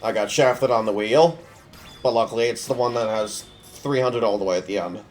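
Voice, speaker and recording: A young man with a cold, his voice stuffy, giving relaxed, offhand commentary while playing a mobile game. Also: on the low side, normal speed, soft background music. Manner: relaxed, offhand, thinking aloud. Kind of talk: casual gameplay commentary.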